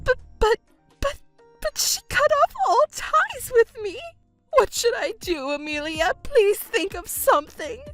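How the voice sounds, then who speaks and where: Actress as a selfish, self-pitying middle-aged stepmother, high and shrill, audio drama. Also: very animated, normal speed, faint music behind. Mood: wailing. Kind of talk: melodramatic audio drama acting.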